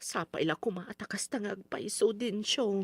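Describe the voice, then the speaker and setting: A woman's voice: medium pitch, normal speed, husky, no room sound. An actress with a Filipino accent playing a worried older mother in a radio drama, lamenting and reproachful. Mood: wistful, worried. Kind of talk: melodramatic radio drama acting.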